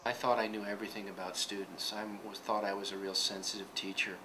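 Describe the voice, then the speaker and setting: A man's voice: fairly low pitch, flat delivery, fast, music in the background, rough recording. A man who is a teacher, speaking earnestly and reflectively in a documentary interview.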